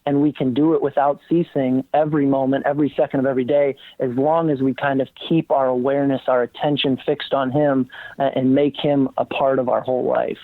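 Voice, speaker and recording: A man's voice: mid-range voice, little pitch movement, a little fast, no echo. A man, a college basketball coach, speaking earnestly as a guest, with many ums and restarts. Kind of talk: casual interview talk. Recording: tinny phone-like line, dry.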